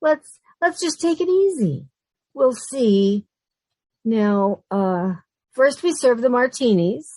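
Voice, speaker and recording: A woman's voice: on the high side, animated, takes it slowly, dark tone, no echo. A woman playing an anxious, sardonic young roommate who also narrates, in an old-time radio sitcom style. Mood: nervous, exasperated. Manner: wry, long-suffering, nervous.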